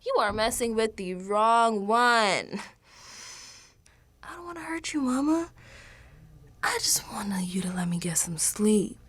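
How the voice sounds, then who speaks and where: A woman's voice: fairly high pitch, unhurried, long pauses, breathy edge, no echo, exaggerated voice. An actress playing an exasperated, sassy young American woman in a colloquial radio drama monologue, slangy and confessional. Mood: threatening, indignant. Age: young adult. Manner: slangy, sassy, animated, sighing asides.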